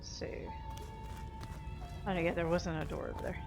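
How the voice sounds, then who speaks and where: A woman's voice: on the low side, steady tone, moderate pace, creaky, music in the background. A woman giving informal gameplay commentary, thinking aloud as she explores. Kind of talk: casual game commentary.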